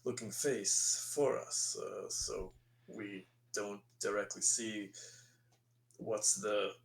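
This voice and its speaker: A man playing a tabletop roleplaying game, speaking casually in character in a halting, hesitant way with many fillers. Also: lowish voice, steady tone, vocal fry. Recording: faint background noise and hum.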